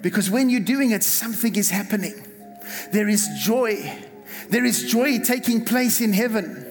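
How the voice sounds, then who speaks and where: A South African pastor preaching a fervent sermon, repeating words and calling on the congregation to respond. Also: male voice, high-pitched, moderate pace. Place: church hall. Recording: reverberant hall with music behind. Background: music.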